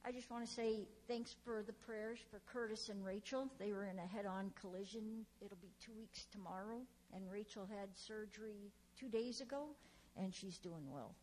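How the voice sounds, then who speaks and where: Mid-pitched woman with faint background hum. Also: moderate pace, darkish tone, rough recording. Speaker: congregation member giving thanks. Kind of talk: casual spoken testimony. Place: church sanctuary, indoors. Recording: faint hum and room noise.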